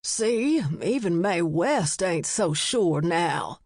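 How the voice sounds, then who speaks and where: A woman's voice: on the low side, animated, a little mellow, no room sound, studio quality, exaggerated voice. A woman voicing a bossy, condescending small-town woman in an audiobook. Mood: bossy, insistent. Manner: slow, drawn-out, condescending.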